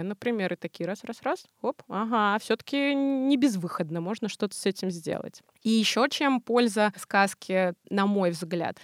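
A woman speaking as an expert guest in a podcast interview, explaining in a colloquial, animated way. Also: mid-range voice, no room sound, clean studio sound.

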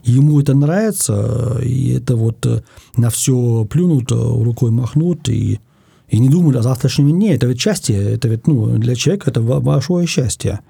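A man from Germany, a guest in an interview, speaking thoughtfully in casual talk and pausing often to find his words. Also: medium pitch, gravelly, mellow, breathy edge, dry recording.

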